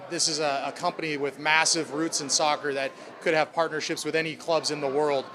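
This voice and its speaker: A man speaking as a club president, answering reporters' questions in upbeat corporate language. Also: fairly high pitch. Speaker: sports club president at a press conference.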